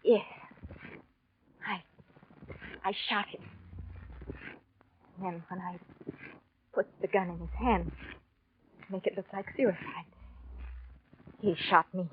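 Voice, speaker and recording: An actress playing a scheming, vengeful femme fatale in a theatrical 1940s radio drama style. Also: female voice, fairly low pitch, fairly even intonation, takes it slowly, long pauses. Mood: weak, dying. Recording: noisy, dull 1940s radio recording with clicks.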